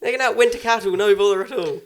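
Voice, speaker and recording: A man's voice: sits high, fast. A man co-hosting a podcast in casual, jokey, sweary banter with friends. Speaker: podcast co-host.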